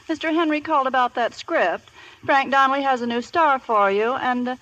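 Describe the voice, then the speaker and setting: A woman's voice: high-pitched, brisk, a bit bright, low-quality recording. A woman acting an efficient, polite studio secretary in a 1940s radio play. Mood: businesslike.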